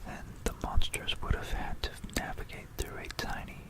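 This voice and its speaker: An ASMR creator speaking in a soft, unvoiced whisper, mixing reading aloud with informal, musing asides, close to a stereo microphone. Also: normal speed. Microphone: close stereo binaural microphone.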